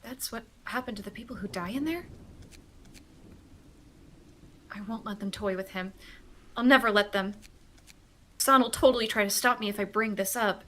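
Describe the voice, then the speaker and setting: A woman's voice: medium pitch, brisk, bright, forceful tone, a little hiss or hum, close to an everyday voice. A woman voicing a grieving, hot-headed young fixer in an amateur read-along of a game script. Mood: bitter resolve.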